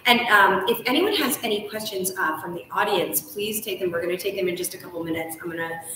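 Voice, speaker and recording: A woman hosting a conversational book interview, effusive and discursive, pausing often as she builds long questions. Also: mid-range voice, pushed and bright. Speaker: host and interviewer. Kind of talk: live on-stage style book interview, conversational. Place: large ornate hotel room, indoors. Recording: echoey room, distant mic, faint noise.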